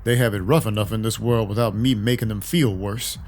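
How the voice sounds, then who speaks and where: A man playing a world-weary vampire detective in a noir audio drama, wry, guarded and deliberate. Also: fairly low pitch, steady tone, darkish tone, a little hiss or hum, natural voice. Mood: wry, brooding.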